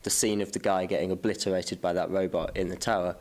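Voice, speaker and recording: A man's voice: sits low, flat delivery, fast, a little mellow. A man on a podcast, talking casually as he discusses a film. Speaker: podcast host.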